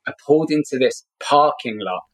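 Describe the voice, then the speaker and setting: A middle-aged man with a London accent shares a personal story as a podcast guest. His voice sits at an average pitch. He speaks earnestly and repeats himself to drive a point home. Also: animated, moderate pace, a little hiss or hum. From Scotland, grew up in London. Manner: earnest, emphatic repetition.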